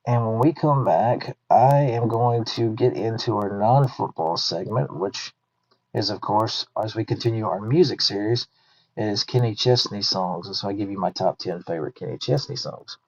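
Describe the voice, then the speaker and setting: Man, thirties, podcast host, fairly low voice, chatty and rambling, dull lo-fi recording. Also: breathy edge. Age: 30s. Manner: chatty, thinks aloud with fillers and self-corrections. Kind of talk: casual podcast talk. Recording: dull lo-fi sound.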